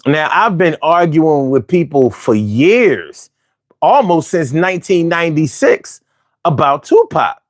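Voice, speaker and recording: A man's voice: fairly high pitch, big pitch swings, a little slow. A man in his forties from Philadelphia with a Philly accent, hosting a casual, argumentative monologue full of slang and restarts. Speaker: host.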